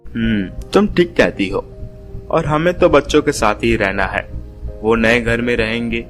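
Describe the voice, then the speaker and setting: Man as agreeable older father, medium pitch. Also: measured pace, soft background music, lightly acted. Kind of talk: Hindi moral story voice acting.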